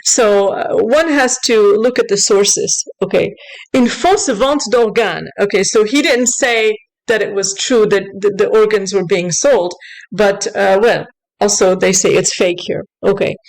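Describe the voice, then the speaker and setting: A woman's voice: mid-range voice, measured pace, gravelly, poor audio. A woman giving unscripted news commentary in an upset, insistent, corrective way, sometimes switching between two languages as she reads aloud. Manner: switches between English and French while reading aloud. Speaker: online commentator.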